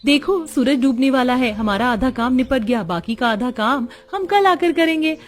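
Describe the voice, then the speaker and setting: Woman acting a high-voiced village housewife, cartoon. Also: animated, moderate pace. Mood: cheerful, resourceful. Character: poor village women, dutiful resourceful daughters-in-law and scolding mother-in-law. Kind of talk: cartoon story dialogue.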